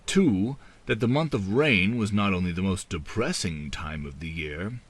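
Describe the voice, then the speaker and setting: A man reading an audiobook as dramatic scripted narration, in a measured, theatrical manner. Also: deep voice, animated, slightly rough. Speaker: audiobook reader.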